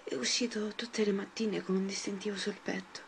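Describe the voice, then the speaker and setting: A woman's voice: mid-range voice, flat delivery, moderate pace, gravelly, slight background noise, close to an everyday voice. A woman playing a tender, anxious seventeen-year-old girl in an amateur film dub, with a hesitant manner.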